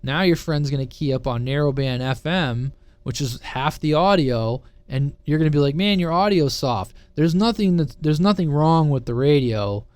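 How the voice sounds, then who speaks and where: A man's voice: fairly high pitch, lively intonation, normal speed, hardly any pauses, darkish tone, clear, resonant tone, dry recording. A man giving a casual, unscripted technical explanation in an informal, conversational way, often checking that listeners follow. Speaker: presenter of a CB radio explainer channel.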